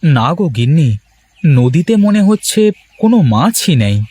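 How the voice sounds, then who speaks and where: A man's voice: fairly high pitch, big pitch swings, moderate pace, warm tone, breathy edge, dry recording. A man playing a weary village fisherman husband in a cartoon, grumbling and teasing in a colloquial, theatrical way. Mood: dejected. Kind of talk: Bengali cartoon moral-story dialogue.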